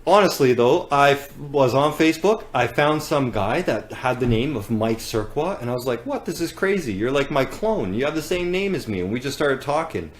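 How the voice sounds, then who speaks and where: A man's voice: mid-range voice, lively intonation, normal speed, hardly any pauses. Joking Canadian man on a livestream. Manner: joking, rambling storyteller. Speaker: business owner hosting a live giveaway. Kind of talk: casual livestream chat.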